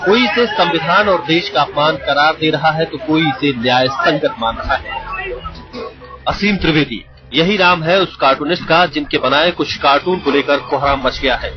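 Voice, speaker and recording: A man's voice: on the high side, moderate pace. A man narrating a scripted TV news report in a dramatic style. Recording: dull broadcast audio with music. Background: loud music.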